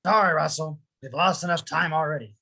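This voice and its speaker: A man's voice: on the high side, brisk, creaky, pushed and bright, slightly rough, dry recording, exaggerated voice. A man playing a grumpy, stubborn old man in a cartoon script read, curt and scolding. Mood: firm. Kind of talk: amateur table read of an animated film script.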